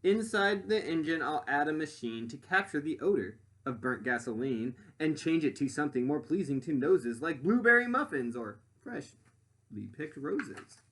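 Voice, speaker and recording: A man voicing an eager, boastful boy inventor from a storybook, presenting his dream creation like an excited showman. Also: fairly high pitch, moderate pace. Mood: proud, excited.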